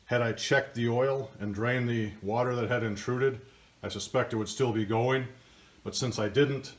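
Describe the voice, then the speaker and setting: An older man walks through a pump repair step by step, in a plain, practical tone. His voice sits at an ordinary middle pitch, and he talks casually into a camera mic in a workshop. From Michigan, US. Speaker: DIY video host. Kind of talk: casual how-to narration. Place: workshop, table of pump parts.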